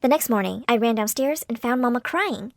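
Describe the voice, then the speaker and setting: A woman narrating a dramatic storytime tale and voicing the characters in it, in a theatrical, animated way. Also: a little high, brisk, dry recording, studio quality.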